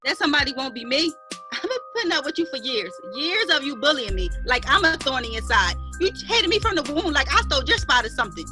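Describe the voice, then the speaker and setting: A woman's voice: sits high, very animated, fast, over music. A woman playing a grieving, defiant younger sister, speaking with emotional indignation in an online dramatic play reading. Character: Joy, grieving, defiant younger half-sister standing her ground.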